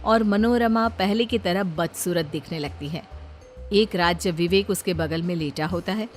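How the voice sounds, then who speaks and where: A woman's voice: lowish voice, normal speed, faint music behind. A woman narrator reading a scripted moral story.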